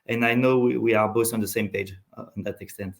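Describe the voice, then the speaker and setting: A man from France with a French accent, answering as an executive guest in an unscripted business interview, with halting phrasing and repeated words. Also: lowish voice, steady tone.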